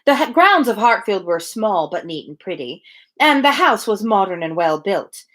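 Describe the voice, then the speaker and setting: A woman's voice: mid-range voice, moderate pace. A woman reading a novel aloud on a livestream, breaking into playful, casual commentary. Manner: playful, animated. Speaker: reader, livestream host.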